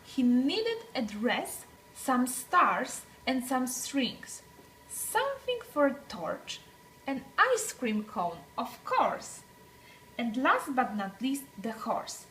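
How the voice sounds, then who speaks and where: A woman's voice: high voice, big pitch swings, slow pace, a bit bright, a little hiss or hum, low-quality recording. A woman reading a picture book aloud to young children, expressively, with dramatic phrasing and emphasized words. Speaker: children's story reader.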